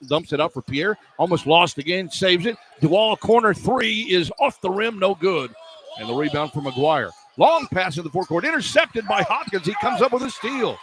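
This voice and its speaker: A man doing live radio play-by-play of basketball, describing the action in clipped running phrases. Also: high voice. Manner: clipped running description, excited on key plays. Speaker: play-by-play sports announcer. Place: courtside in an indoor arena. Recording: clear arena noise behind voice. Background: arena ambience.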